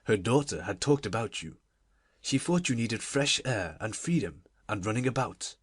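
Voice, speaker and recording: A weary, middle-aged gentleman guardian for a storybook. The voice is dropped low and husky, with a fretful, absent-minded mutter. It sits in a dry, close sound. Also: animated, normal speed, no echo, exaggerated voice. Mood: weary, worried.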